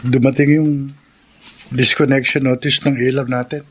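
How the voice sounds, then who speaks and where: A man's voice: medium pitch, flat delivery, moderate pace, fairly bright tone, a little hiss or hum, close to an everyday voice. A man playing an earnest, responsible young student son in a Filipino radio drama, speaking sincerely. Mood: earnest, concerned. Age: young adult.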